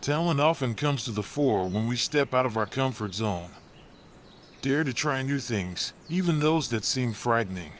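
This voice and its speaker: A man voicing a wise, kindly old elephant in a children's storybook, speaking softly and encouragingly. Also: medium pitch, expressive, moderate pace, a little hiss or hum, natural voice. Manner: soft, gentle, encouraging.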